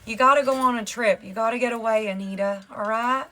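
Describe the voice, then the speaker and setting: A woman's voice: on the high side, a little fast, a bit bright, lightly acted. A woman with a New Jersey accent voicing her pet bird, who insistently tells her to get away on a trip. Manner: insistent, bossy.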